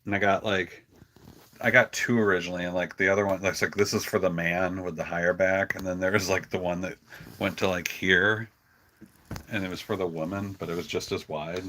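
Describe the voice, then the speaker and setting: A man with a low-pitched voice hosts an easygoing livestream about his animals. He talks in a casual, conversational way, with an affectionate streak of pet talk. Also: steady tone, fairly quick, bright, forceful tone, a little hiss or hum. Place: on a farm.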